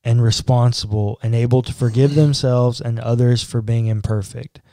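A young man, a pastor, talking on a podcast in a measured, earnest and reflective way. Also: medium pitch, level tone, mellow, no echo. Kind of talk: casual chat. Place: small home studio room. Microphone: boom-arm podcast mic.

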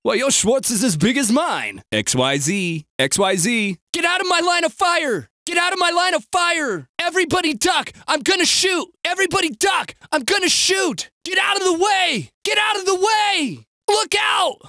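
A man voicing an excitable, sarcastic city bystander in a video game, with an exaggerated, wisecracking delivery. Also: very high voice, moderate pace, no echo, clean studio sound, heavily acted. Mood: combative.

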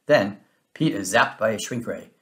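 A man reading a children's story aloud in an expressive, animated way with dramatic emphasis. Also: lowish voice, moderate pace. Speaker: storybook reader. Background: music.

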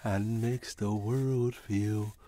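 A middle-aged man singing in a slow, drawn-out way. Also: lowish voice, steady tone, dark tone.

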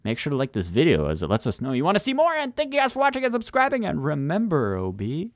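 A man hosting a gaming video, giving excitable, urgent callouts and casual roleplay commentary as he plays with others. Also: a little high, fast talker, dry recording, clean studio sound.

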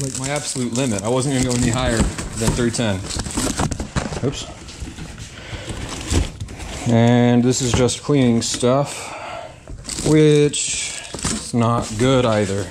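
A man's voice: medium pitch, slow pace, long pauses. A man reselling storage unit finds, thinking aloud with wry asides as he digs through boxes, filmed on a head-mounted camera in a metal storage hallway. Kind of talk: casual vlog narration while unboxing. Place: indoor self-storage hallway, metal walls. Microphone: head-mounted action camera. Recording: noisy, crinkling and rustling behind.

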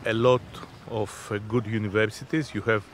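A man in his fifties answering interview questions in a halting, deliberate, unscripted way. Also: lowish voice, flat delivery. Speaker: interviewee, innovation zone representative. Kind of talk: spoken interview, unscripted explanation. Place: outdoors on a sea beach. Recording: outdoor seaside background noise.

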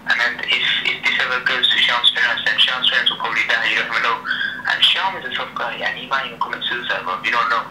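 A man's voice: mid-range voice, steady tone, fast talker. Young man, slangy repetitive phone chat, echoey speakerphone, noisy. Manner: repetitive, slangy, tags sentences with "yeah" and "innit". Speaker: phone call participant. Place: phone speaker held up in a room.

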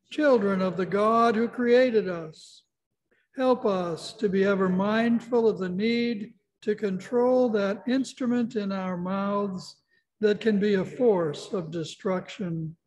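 Older guy pastor leading prayer in church, highish voice, slow and solemn. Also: poor audio. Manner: measured, solemn phrasing. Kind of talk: liturgical prayer and service leading. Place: church sanctuary.

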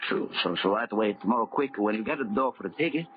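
An actor playing a greedy, furtive dockside villain in clipped, hesitant broken English, in 1930s radio horror style. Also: male voice, fairly low pitch, animated, fast, close to an everyday voice. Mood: urging, sly. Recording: tinny, very noisy 1930s radio recording.

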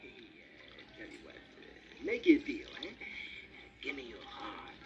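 A man's voice: mid-range voice, huge pitch swings, creaky, over music, heavily acted. A man doing a wheedling scheming crocodile. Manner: fast-talking huckster patter with "hey" and "I mean". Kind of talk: storybook character voice. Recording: dull noisy old recording.

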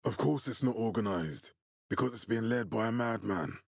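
A commanding male militia leader from a video game. His voice is low and rough-edged, recorded very dry. He speaks deliberately, with stern resolve and a fatherly authority. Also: dry recording. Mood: calm, firm.

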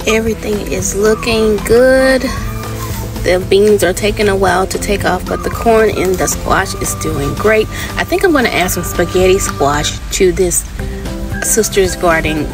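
Slow-paced woman over music. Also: medium pitch, expressive. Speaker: host of a gardening channel. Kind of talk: casual garden tour narration.

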